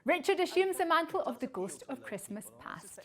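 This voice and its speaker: A woman presenting a current affairs show, reading scripted introductions and messages in a measured presenter's delivery. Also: sits high, sing-song.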